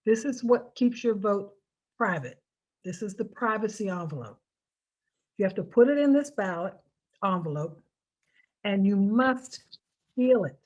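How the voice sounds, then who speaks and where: A woman's voice: medium pitch, darkish tone, poor audio. Emphatic American woman giving step-by-step instructions. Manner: emphatic, repeats key points for stress. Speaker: presenter of a voter instruction video. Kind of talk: informal step-by-step public instruction.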